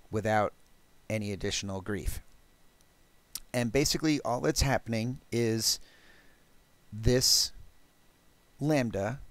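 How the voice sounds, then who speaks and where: A man presenting a technical programming demo, explaining step by step in a halting, thinking-aloud way. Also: medium pitch, smooth, no room sound. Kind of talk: technical talk with live code demo.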